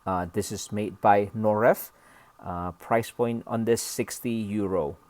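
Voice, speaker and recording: Man from Indonesia, fairly low voice, dry close sound, casual review host, halting phrases, unscripted. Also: fairly even intonation, a little mellow, no room sound. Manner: halting, hesitant delivery in short broken phrases. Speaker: host of a model car review channel.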